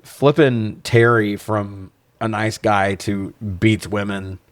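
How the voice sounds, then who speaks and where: A man's voice: on the low side, steady tone, slow pace, no room sound. A man co-hosting a podcast, chatting casually and bluntly about films with plenty of swearing. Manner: profane, blunt. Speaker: podcast co-host. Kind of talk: casual film review chat.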